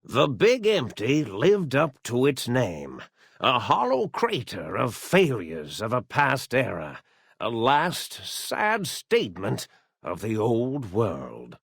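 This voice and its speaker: An actor voicing an eccentric, absent-minded old mad scientist in a video game, with a theatrical delivery. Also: male voice, mid-range voice, wildly swooping pitch, takes it slowly, gravelly, breathy edge, no echo, clean studio sound, heavily acted. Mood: solemn, subdued narration. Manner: rambling, stammering, theatrical self-corrections.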